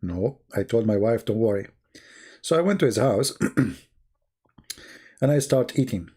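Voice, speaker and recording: A man who speaks English as a second language, chatting casually and telling stories on a live stream in a halting, rambling way, often clearing his throat. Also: medium pitch, expressive, mellow. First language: not English.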